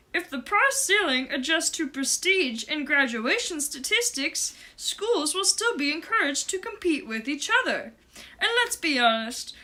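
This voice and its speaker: A woman's voice: high voice, pushed and bright, full character voice. A woman voicing an earnest, opinionated male puppet pundit in a scripted explainer show, speaking with emphasis.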